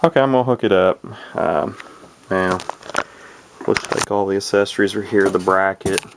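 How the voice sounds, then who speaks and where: A man's voice: low voice, normal speed. A man giving a casual, unscripted demonstration as a hobbyist, rambling and hesitant, often correcting himself. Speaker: hobbyist presenter showing a vintage radio. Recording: clearly noisy home video recording.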